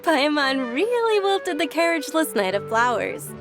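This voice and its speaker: An actress playing a polite, gentle, earnest young noblewoman in a video game. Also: high-pitched, very animated, moderate pace. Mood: pleasant. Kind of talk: video game character acting. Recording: game music behind voice.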